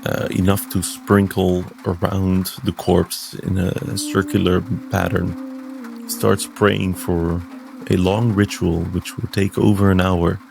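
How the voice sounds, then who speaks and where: Very deep, gravelly male tabletop player. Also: level tone, takes it slowly, over music. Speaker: player in a tabletop roleplaying game. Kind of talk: improvised third-person narration of his character.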